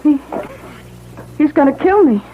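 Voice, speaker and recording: A woman's voice: high voice, expressive, a little slow. An actress playing an emotional, defiant woman in danger in a classic radio mystery, with a dramatic, anguished delivery. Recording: tinny, noisy old radio broadcast.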